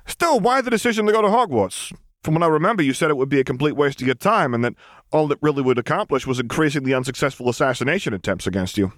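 A cheerful, self-satisfied male vampire secretary in a read-aloud story , as a man puts him on. He speaks noticeably higher than the reader's own voice. He teases and gloats in a light, pleased way. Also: lively intonation, quick pace, dry recording, studio quality, lightly acted. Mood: smug. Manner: pleased with himself, teasing.

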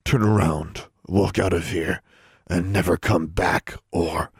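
A man's voice: deep voice, steady tone, slow pace, husky, dry recording, studio quality, pitched below the performer's own voice, exaggerated voice. A man voicing a cold, menacing teenage boy wizard in an audiobook, growling his threats.

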